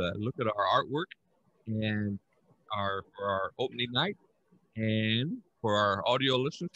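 A man's voice: mid-range voice, bright, forceful tone, no echo, dull audio. An older man hosting a podcast in casual, rambling talk with chuckles, speaking over a headset on a video call. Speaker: podcast host. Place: room at home.

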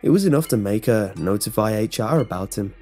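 A man's voice: on the low side, animated, brisk, mellow, husky, soft background music. A man voicing an older male co-worker in a horror story, a wry gossip who recalls things in a casual, rambling way. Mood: grimly gossiping. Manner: casual, conversational, rambling recollection.

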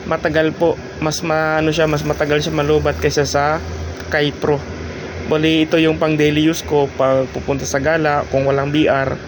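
Higher-voiced Filipino man over clear background noise. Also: level tone. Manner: halting, searching for words, informal. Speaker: motovlogger and host giving a product installation guide. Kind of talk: casual tutorial narration.

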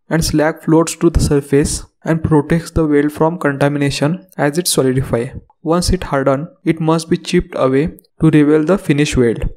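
A man narrating a scripted technical lesson as the instructor, in a steady reading delivery. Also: fairly high pitch.

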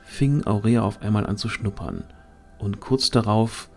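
A man's voice: on the low side, unhurried, a little mellow, soft background music. A man reading scripted narration for a fantasy audio drama.

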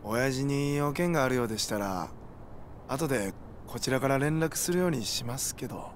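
A man acts a young junior gangster in a crime game. He is polite and careful, placating in a hesitant way, at a middling male pitch with faint noise behind. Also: animated, moderate pace, natural voice. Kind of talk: video game cutscene acting.

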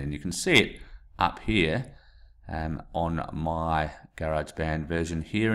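Deep-voiced man explaining a tutorial. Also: a bit bright. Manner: plain step-by-step explaining with asides. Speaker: teacher. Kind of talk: screen-recorded tutorial. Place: small indoor room. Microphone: USB desk mic, webcam framing.

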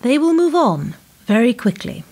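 A woman acting in a radio play as a cold, menacing power broker, formal and measured in her threats. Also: fairly high pitch, big pitch swings, a little mellow, breathy edge. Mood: cool menace.

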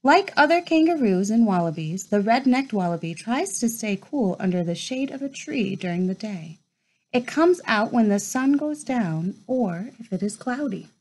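A woman in her thirties reading a children's nature book aloud in an expressive storytime manner, recorded at home. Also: a little high, normal speed, low-quality recording. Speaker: read-aloud host. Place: small room at home, indoors.

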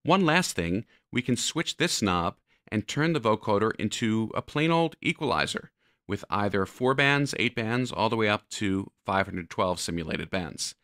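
A man narrating a software tutorial, explaining step by step like an instructor. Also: lowish voice, animated, normal speed, no echo, clean studio sound.

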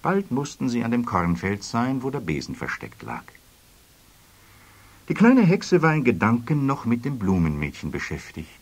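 A man narrating a children's story expressively, with a husky voice. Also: medium pitch, huge pitch swings, moderate pace.

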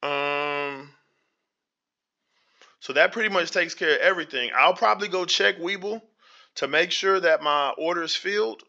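A man with a slightly hoarse, strikingly bright and tense voice walks his viewers through a screen-share tutorial. His somewhat high voice has a gravelly fry, and the recording sounds dull and lo-fi. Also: normal speed, pauses often. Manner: talks straight to viewers, often asks if they hear him; drifts into preacher-like faith talk. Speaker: channel host. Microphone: voice-over to screen recording. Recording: dull lo-fi audio.

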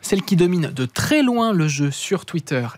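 A young man presenting a lively web-news column in a radio studio, close to a studio mic. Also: sits high, normal speed. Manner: lively, talks with his hands. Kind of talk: radio chronicle, casual banter. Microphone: studio mic with headphones.

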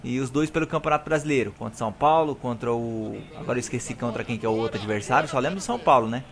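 A young Brazilian man, hoarse from recording, giving excited, slangy commentary over his own football video game match and talking straight to his viewers. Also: medium pitch, rapid-fire. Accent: Brazilian Portuguese. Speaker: gaming YouTuber. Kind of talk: live gameplay commentary.

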